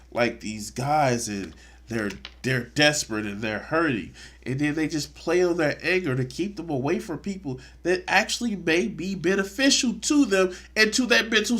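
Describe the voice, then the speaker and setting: A man's voice: fairly high pitch, fairly bright tone. A man streaming a reaction video, talking with indignant, profane sarcasm. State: congested. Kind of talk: casual reaction commentary.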